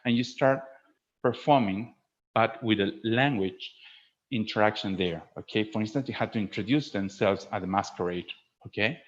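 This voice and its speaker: A man speaking as a teacher trainer in an informal instructional webinar, explaining in an animated way and often checking that listeners follow. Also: lowish voice, normal speed. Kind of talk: informal instructional presentation with acted demonstrations. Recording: dull lo-fi webinar audio.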